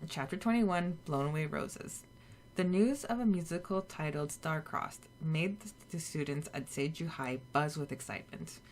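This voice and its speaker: A woman's voice: sits low, a little hiss or hum. A woman hosting a podcast, chatting with fillers and then reading a summary steadily. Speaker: podcast co-host. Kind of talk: casual chat and read chapter summary.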